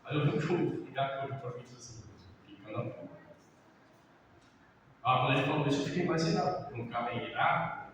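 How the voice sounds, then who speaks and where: Middle-aged man, pastor, lively storyteller; distant mic in a reverberant hall with noise behind. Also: mid-range voice, brisk. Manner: lively storyteller, acts out quotes, laughs. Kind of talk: sermon-style talk with personal stories. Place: large church hall.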